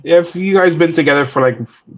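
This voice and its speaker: A man's voice: on the high side, brisk, fairly bright tone, highs cut off. A man chatting casually on a live stream, laid-back, often repeating and restarting his words.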